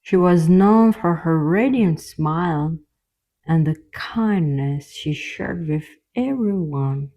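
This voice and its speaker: A woman narrating a scripted children's fairy tale as the storyteller, in a gentle, measured way. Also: low voice, expressive, muffled recording.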